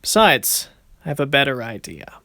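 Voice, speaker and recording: A man's voice: medium pitch, sing-song, normal speed, bright, forceful tone, dry recording, clean studio sound. A man voicing a confident, cocky but good-natured young card duelist in casual, swaggering banter for an amateur anime-style series. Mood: casual, friendly.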